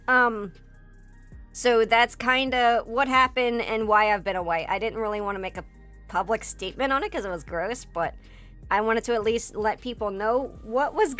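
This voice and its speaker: A hoarse woman streamer in a casual livestream chat, rambling with lots of fillers and swearing. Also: a little high, fairly bright tone. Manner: rambling, filler-heavy and profane, breaks into laughter. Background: faint background music.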